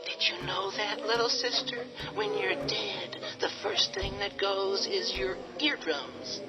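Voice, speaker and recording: A man's voice: high voice, takes it slowly. An actor playing a sardonic young man who teases his sister with spooky whispers, in a radio drama. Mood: spooky teasing. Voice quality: sneering, teasing spooky whispers. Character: mocking young man, brother, teasing and sardonic. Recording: dull radio drama with music.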